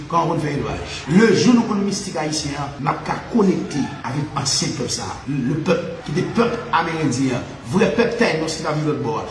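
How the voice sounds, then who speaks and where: A Haitian man delivering an impassioned spiritual monologue, mixing Creole and French, repeating phrases and laughing often. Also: on the high side. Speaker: spiritual commentator. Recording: echoey room with faint ambient noise.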